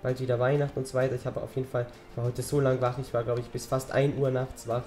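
Teen boy gamer, a bit hoarse. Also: mid-range voice, little pitch movement, quick pace, darkish tone, soft background music. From German-speaking Europe. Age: teens. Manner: rambling, chatty, talks while gaming. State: slightly hoarse from a cold. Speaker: gaming channel host. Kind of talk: casual let's-play commentary.

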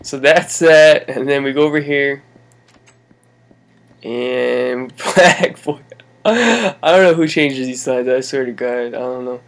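A young man at a home mic gives a loose, rambling tour of his game world. His pitch sits in an ordinary middle range, and he talks in an easygoing way, trailing off and circling back often. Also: slow pace. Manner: rambling, laid-back, many fillers and laughs. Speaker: gaming channel host. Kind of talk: casual game walkthrough commentary.

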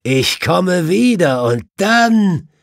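A man's voice: high-pitched, very animated, very slow, gravelly, no room sound, studio quality, voice pushed up, heavily acted. A man voicing a condemned murderer in an audiobook, sneering and defiant, calling out taunts and vengeful threats.

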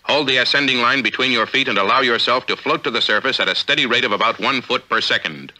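An American man reading formal, measured, authoritative narration for a 1950s instructional film, on an old film soundtrack. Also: mid-range voice, bright, forceful tone, rough recording. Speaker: narrator of a military training film.

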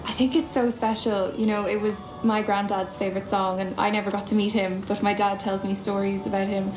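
A woman's voice: mid-range voice, flat delivery, fairly quick, reverberant, noisy background. A young woman singer answering interview questions outdoors in an earnest, heartfelt way. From Ireland. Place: outdoors on castle grounds.

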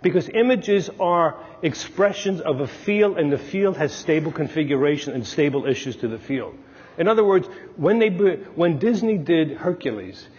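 A man's voice: a little high, a little mellow, husky. A man, an analyst giving an emphatic, storytelling talk at a podium on a lapel mic. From Brooklyn, New York. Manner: storytelling, emphatic, gestures with his hands. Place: large dark stage, lecture hall. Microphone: clip-on lapel mic. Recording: lo-fi recording with long hall reverb.

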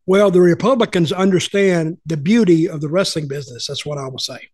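A man's voice: on the high side, fairly quick, a little mellow, no echo, studio quality. Older man telling rambling stories. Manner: rambling, folksy, opinionated. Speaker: podcast host and storyteller. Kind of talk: casual storytelling chat. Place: home room with lamp, video call.

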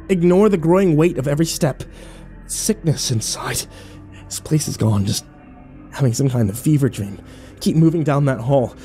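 A man's voice: on the high side, warm tone, natural voice. An actor playing a battered, bitter young man who narrates his nightmare in terse, sardonic, profane fragments for a horror audio drama. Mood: desperate resolve.

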